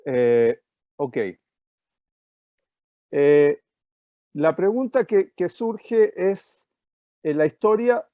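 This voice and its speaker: A man from Chile with a Chilean Spanish accent, giving an online lecture over a video call and pausing often with filler sounds. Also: on the high side, sing-song, dry recording, dull audio. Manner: hesitant, many fillers. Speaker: guest speaker.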